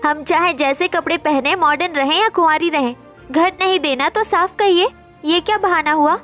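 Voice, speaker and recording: An indignant young modern woman in a story drama, played by an actress. Her voice is extremely high, with a plaintive, protesting delivery. Light music runs underneath. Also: moderate pace, a bit bright. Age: young adult. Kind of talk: acted Hindi story dialogue.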